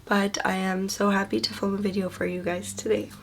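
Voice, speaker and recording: A woman beauty vlogger chatting casually to her viewers while recovering from the flu, her voice hoarse, congested and quieter than usual, with low energy. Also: level tone, a little fast, slight background noise. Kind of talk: casual sponsored vlog chat.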